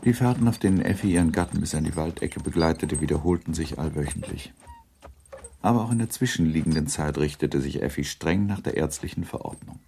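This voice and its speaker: A man narrating a period novel in a radio drama, measured and formal. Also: rumbling low, gravelly, mellow, slight background noise.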